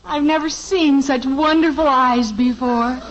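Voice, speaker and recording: A woman's voice: high voice, unhurried, heavy background noise. An actress playing a flustered, lovestruck teenage daughter in a 1950s radio sitcom, girlish and fretful. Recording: dull old radio broadcast recording.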